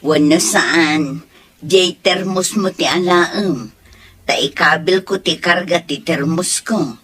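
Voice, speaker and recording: A woman playing a husky, slow neighbor woman. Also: lowish voice, fairly bright tone, heavily acted. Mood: concerned. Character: caring village neighbor woman.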